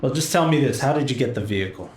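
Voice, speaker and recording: A man's voice: medium pitch, expressive, fast talker, natural voice. Man playing a suspicious camp guard, echoey room. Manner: commanding, probing, sceptical. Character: suspicious, gruff male camp guard interrogating newcomers. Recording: roomy echo with faint background noise.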